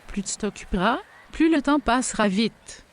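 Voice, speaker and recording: A woman's voice: fairly high pitch, expressive, normal speed, lightly acted. A woman playing a kindly, advising overseer of a young captive in an audio drama, reassuring and instructive.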